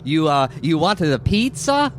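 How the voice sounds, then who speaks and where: A man's voice: on the high side, big pitch swings, a little slow, voice pushed up. Male game master as effusive Italian pizzeria greeter, raised voice, Italian accent, tabletop game, noisy background. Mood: effusive welcome. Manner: effusive, eager. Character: beaming rail-thin Italian pizzeria man greeting customers.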